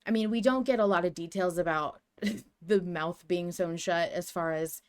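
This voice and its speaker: A woman's voice: fairly low pitch, normal speed, smooth. A woman hosting a podcast, talking through ideas in a casual, musing fan discussion. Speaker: podcast host.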